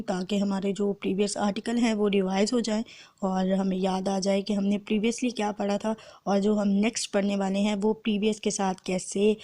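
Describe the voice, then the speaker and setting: A woman law lecturer with a Pakistani accent speaks at a middle pitch, her throat sore. She explains methodically, as if to students preparing for a test, and slips English terms into her teaching. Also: dark tone. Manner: explanatory, translating phrase by phrase.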